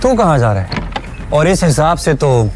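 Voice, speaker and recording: Gloating, higher-voiced young rival. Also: male voice, very animated, moderate pace, a little mellow, soft background music. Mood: gloating, menacing.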